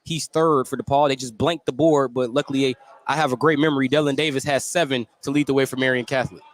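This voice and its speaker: A man's voice: mid-range voice, steady tone, fast. A man working as play-by-play announcer, giving live basketball commentary on the radio.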